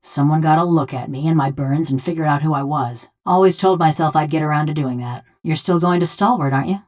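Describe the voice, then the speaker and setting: A woman's voice: low-pitched, brisk, a little mellow. An actress playing a wry, blunt, vengeful woman killer whose soul lives in a bronze body, speaking in a folksy rustic drawl for a video game. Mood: plainspoken. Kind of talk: video game character dialogue.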